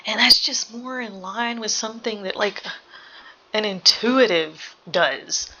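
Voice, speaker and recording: Bright-voiced, unhurried woman reacting to a game. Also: medium pitch, dull audio. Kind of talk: casual reaction commentary.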